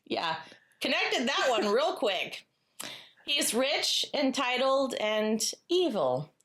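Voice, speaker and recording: A woman co-hosting a casual book chat in a playful, cheeky manner, pausing dramatically for emphasis. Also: high-pitched, sing-song, bright, forceful tone. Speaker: podcast co-host.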